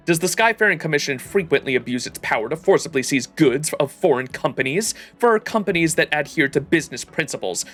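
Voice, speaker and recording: A man's voice: mid-range voice, fairly bright tone. Male game streamer with music behind. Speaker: livestreamer. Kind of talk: gaming stream, reading game text aloud and reacting.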